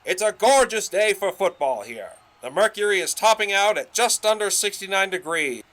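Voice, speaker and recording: A man's voice: high voice, expressive, normal speed, fairly bright tone. An actor playing a pompous young radio salesman and announcer in a 1920s audio drama, full of showy old-fashioned patter. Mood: announcing.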